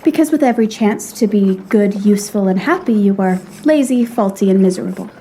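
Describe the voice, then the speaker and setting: A woman's voice: medium pitch, expressive, fairly quick, dark tone, a little hiss or hum, natural voice, low-quality recording. A woman playing a proud, frank young lady in a period audio drama, speaking in a refined, articulate and earnestly reproachful way. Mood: frank, disdainful.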